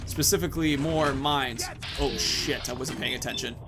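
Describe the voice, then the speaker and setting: A man's voice: mid-range voice, fairly even intonation, normal speed, creaky, noisy background. A man in his thirties giving casual, informal, rambling commentary as he hosts a video game playthrough. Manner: rambling, informal, swears mildly. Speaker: gaming video host.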